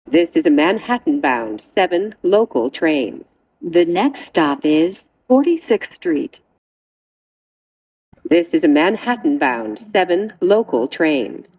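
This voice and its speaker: A woman reading scripted train announcements in a measured, segmented way. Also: on the low side, sing-song, slightly rough, highs cut off.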